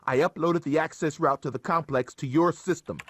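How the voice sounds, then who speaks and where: Actor as earnest higher-voiced male mission ally. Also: a little fast, close to an everyday voice. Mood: earnest concern. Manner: earnest, explanatory, supportive. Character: earnest male ally briefing a cyborg cop. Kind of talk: video game mission briefing. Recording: keyboard clicks, faint background noise.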